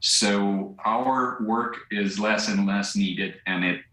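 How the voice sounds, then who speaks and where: A young man from central Ukraine with a Ukrainian accent, answering a live news interview in English in a halting way, searching for words. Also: lowish voice, bright, forceful tone. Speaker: volunteer driver interviewed live. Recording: echoey remote link.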